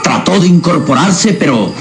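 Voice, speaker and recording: A man narrating a scripted radio drama serial in a suspenseful, theatrical manner with deliberate phrasing. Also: on the high side, expressive. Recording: dramatic music over the voice. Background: clear background music.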